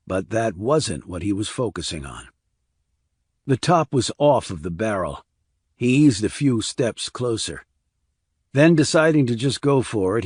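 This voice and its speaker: A man's voice: lowish voice, a little mellow, slightly rough, dry recording, clean studio sound. A man narrating a crime thriller audiobook as a scripted reading.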